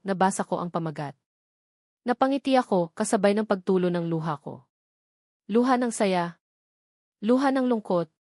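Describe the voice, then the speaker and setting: A woman's voice: medium pitch, darkish tone, dry recording, clean studio sound. A woman storyteller giving scripted first-person romance narration in a dramatic, sentimental manner.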